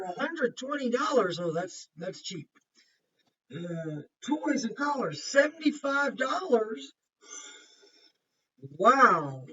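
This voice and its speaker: A woman's voice: fairly high pitch, a bit bright, slightly rough. A woman kindergarten teacher reading a picture book aloud to young children, with simple explanations and chatty asides. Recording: muffled, distant microphone.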